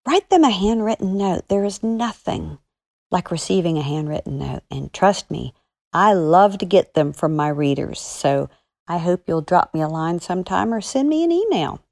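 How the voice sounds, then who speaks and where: A woman's voice: lowish voice, expressive, normal speed, creaky, a little mellow, no echo. Earnest woman in a dry, close recording. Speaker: children's author, podcast guest. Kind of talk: encouraging talk to young listeners.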